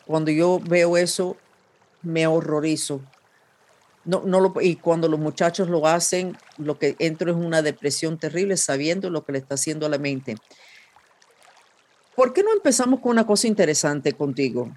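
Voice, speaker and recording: A woman's voice: deep voice, normal speed, a little mellow. Podcast host woman. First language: English. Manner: rambling, meandering with restarts and fillers. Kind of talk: casual podcast conversation.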